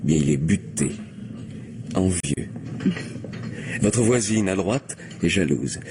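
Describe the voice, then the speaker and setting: An actor playing a glib, insistent gentleman in an old French radio play, talking a lot, wheedling and stammering. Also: deep voice, lively intonation, slow pace, exaggerated voice. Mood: smooth flattering. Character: glib, insistent gentleman pestering a young woman. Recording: noisy old radio drama recording.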